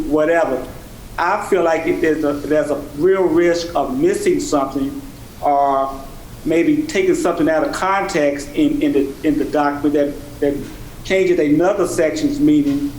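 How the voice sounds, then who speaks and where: A man speaking off the cuff as a board member at a meeting, haltingly, repeating and rephrasing as he goes. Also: a little high, darkish tone. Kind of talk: extemporaneous remarks at a board meeting. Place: indoor meeting room. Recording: echoey meeting room with background noise.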